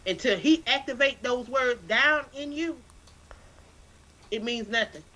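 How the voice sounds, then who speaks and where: Bright-voiced South Carolina woman. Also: on the high side, unhurried, rough recording. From Anderson, South Carolina. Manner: animated, repeats words while building a point, preacherly. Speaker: guest, literacy campaign founder. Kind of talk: casual interview talk.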